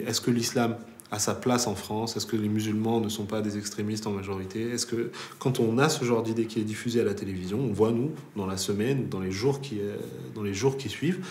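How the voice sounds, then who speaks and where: A man commenting in an interview, measured, restating phrases as he builds his argument. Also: lowish voice, darkish tone. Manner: measured, repeats and rephrases while building points. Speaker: commentator on Islamophobia. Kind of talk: interview answer. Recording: roomy echo with faint noise.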